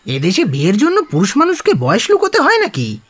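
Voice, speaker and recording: Radio play: haughty middle-aged suitor, husky, very swingy delivery, halting and self-important. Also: male voice, high-pitched, huge pitch swings, fairly bright tone, full character voice. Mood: haughty, blunt. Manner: haughty, hesitant, drops English phrases. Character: pompous well-off suitor, man in late thirties or older.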